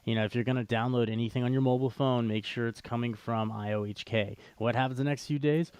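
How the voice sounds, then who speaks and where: Male co-host, mid-pitched, very clear and dry-sounding, casual joking commentary. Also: steady tone, fast, a little mellow, no room sound. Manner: wry, joking. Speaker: co-host of a cryptocurrency show. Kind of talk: casual podcast banter and commentary.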